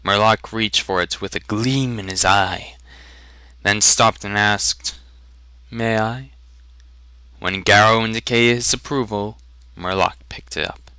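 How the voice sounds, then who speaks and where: A male narrator gives a dramatic reading of fantasy fiction. He is deliberate and unhurried, with a very bright, forceful tone. The sound is dry and close. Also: fairly low pitch, steady tone, clean tone, dry recording. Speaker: amateur audiobook reader.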